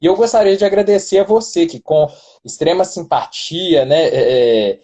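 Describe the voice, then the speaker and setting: A man's voice: fairly high pitch, normal speed, warm tone. A Brazilian man, a criminal defense lawyer, talking as a guest in a casual live-stream interview over a phone, wordy and self-deprecating with jokes.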